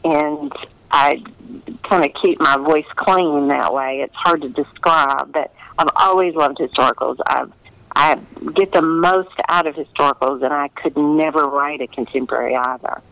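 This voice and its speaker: A woman's voice: low voice, expressive, moderate pace, vocal fry, fairly bright tone, no echo, low-quality recording. A middle-aged American woman, a novelist, speaking earnestly and reflectively in a conversational interview. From United States. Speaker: historical romance novelist being interviewed.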